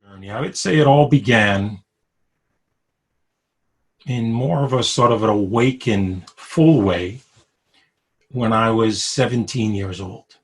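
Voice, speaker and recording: A man in his sixties from Brooklyn with a New York accent, telling his life story over a video call, with pauses, fillers and frequent soft chuckles. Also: fairly low pitch, slightly rough. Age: 60s. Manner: unhurried, chuckles often, many pauses and fillers. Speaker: interviewee. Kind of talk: reflective personal storytelling.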